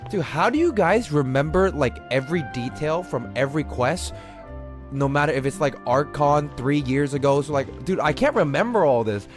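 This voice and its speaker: Casting: male game streamer, animated pitch, rambling casual slang, faint music behind. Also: moderate pace. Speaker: livestreamer. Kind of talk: casual gameplay commentary to chat.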